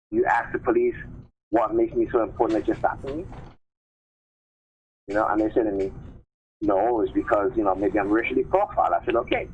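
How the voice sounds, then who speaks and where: A man talking casually as a live stream host, insistent, often posing questions and checking in with short tags. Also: fairly low pitch. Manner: insistent, rhetorical questions and repeated check tags like "right?" and "okay?". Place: remote call-in on a live stream. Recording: muffled phone-line audio with clear noise.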